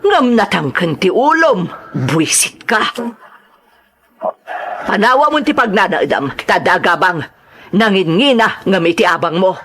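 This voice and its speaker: Woman acting a harsh, animated mother-in-law. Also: mid-range voice, a little slow, long pauses. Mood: scornful, angry. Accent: Ilocano. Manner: scornful, scolding. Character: harsh, scolding, money-minded mother-in-law. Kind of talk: radio drama acting.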